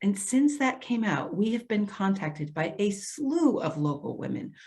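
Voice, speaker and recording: A woman's voice: medium pitch, sing-song, measured pace, darkish tone. Actress as female social worker, earnest, cautious, mid-range voice, hesitant but insistent, stage reading. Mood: cautioning. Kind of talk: readers theater stage acting.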